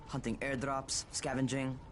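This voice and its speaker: A man's voice: medium pitch, little pitch movement, measured pace, a bit bright, natural voice. Actor as a brash young man, noisy background. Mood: matter-of-fact. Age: young adult. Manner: curt, scolding. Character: brash young runner, curt, bossy and defensive. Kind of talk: video game voice acting.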